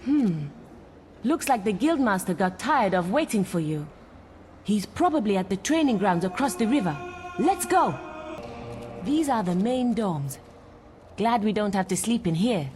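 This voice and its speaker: A young girl apprentice hero in a fantasy game. She is cocky and teasing, with a mid-pitched, very animated delivery over clear background music. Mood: cocky, friendly. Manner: brisk, teasing. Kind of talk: video game voice acting. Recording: music behind the voice.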